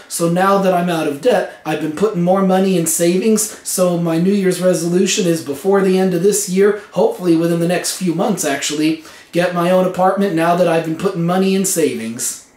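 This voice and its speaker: A young man with a hoarse voice from a cold, hosting his own vlog in an earnest, plain way from a rented room at home. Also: high voice, darkish tone. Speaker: vlog host. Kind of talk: casual vlog talk to camera. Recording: echoey room.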